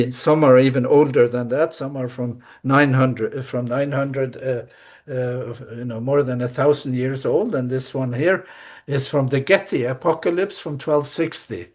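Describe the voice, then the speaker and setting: Slow, relaxed man lecturing. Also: medium pitch. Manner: relaxed, with fillers and "you see" asides. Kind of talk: class presentation over video call.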